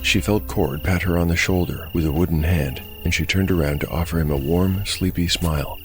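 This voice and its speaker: A man with a deep voice narrates a dark fantasy tale as game master. His delivery is measured, scripted storytelling, with soft music underneath. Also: steady tone.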